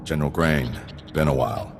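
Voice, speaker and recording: Very deep, stoic army sergeant. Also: male voice, fairly even intonation, fairly quick, creaky, background noise. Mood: stoic, formal. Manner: clipped, formal military. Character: stoic, dutiful male army sergeant, gruff veteran. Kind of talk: video game voice acting. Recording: reverberant hall, music behind.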